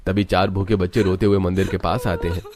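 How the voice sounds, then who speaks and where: A man's voice: deep voice, little pitch movement, a little fast, a little mellow. A man reading scripted narration for a story. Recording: music under the voice. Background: background music.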